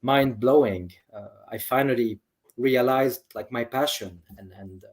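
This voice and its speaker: A man's voice: mid-range voice, a little slow. A man from a Lebanese family who grew up in several countries, giving enthusiastic, conversational answers as a medical expert guest. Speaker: nephrologist, interview guest.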